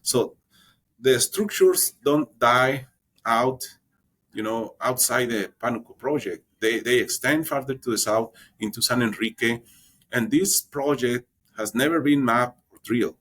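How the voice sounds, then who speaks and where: A man explaining exploration plans in an interview, halting and often repeating words as he searches for them. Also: medium pitch, a bit bright. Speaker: exploration geologist guest.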